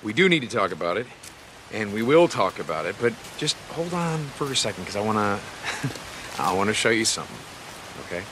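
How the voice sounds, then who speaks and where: A man's voice: on the low side, very animated, fairly quick, a bit bright, slight background noise. An actor playing a cocky, sardonic outlaw biker in his thirties in a video game, with an American accent. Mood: playful, tender. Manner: joking, sardonic. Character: wisecracking, cocky young male outlaw biker. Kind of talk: naturalistic game cutscene acting.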